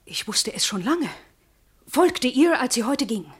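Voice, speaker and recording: An actress playing a deferential, anxious informer of the household in a German radio play, with a hesitant, confiding manner. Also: female voice, high voice, very animated, unhurried, breathy edge, full character voice. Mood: urgent disclosure.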